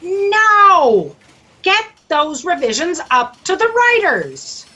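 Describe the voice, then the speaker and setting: A woman's voice: very high voice, huge pitch swings, takes it slowly, sharp tone, highs cut off, heavily acted. A woman playing a demanding, exasperated boss who scolds her staff in a sci-fi satire audio drama. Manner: bossy, scolding.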